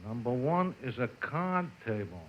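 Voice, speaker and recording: An actor playing a sly racetrack tout in an old radio comedy, talking in terse, conspiratorial deadpan. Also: male voice, mid-range voice, a little slow. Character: sly, furtive racetrack tout whispering odd tips. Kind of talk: 1950s radio comedy acting. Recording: dull, noisy old radio recording with clicks.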